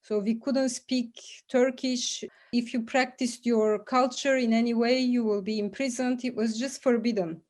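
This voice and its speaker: A woman in her forties from Bulgaria speaks as an interview guest. Her voice sits a little high, and she tells her story calmly and reflectively, pausing to weigh her words. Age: 40s. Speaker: guest, professor and entrepreneur.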